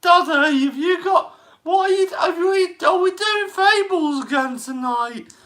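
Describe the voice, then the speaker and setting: A man voicing a whiny young child who nags its dad, shrill and shouting from away from the mic. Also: unhurried, heavily acted.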